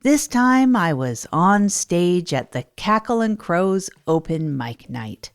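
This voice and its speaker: A woman playing an earnest, hapless radio host in a comedy audio drama, rambling in a deliberate, self-deprecating way. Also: on the low side, very animated, heavily acted. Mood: earnest, wry. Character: Tog Chesterfield, earnest, hapless radio host rebuilding their life. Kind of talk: improvised comedy audio drama monologue, late-night radio parody.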